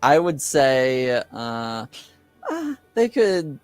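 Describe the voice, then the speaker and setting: A man speaking as game master, improvising descriptions for a tabletop game in a hesitant, halting way. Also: medium pitch, wildly swooping pitch, clear, resonant tone, no room sound, faint music behind.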